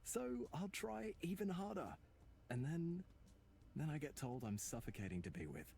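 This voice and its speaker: A man's voice: fairly high pitch, very animated, normal speed, vocal fry, warm tone. A man acting a troubled, self-doubting, earnest young man in a visual novel, with faint ambience behind him. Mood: troubled, confiding. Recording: faint background noise and ambience.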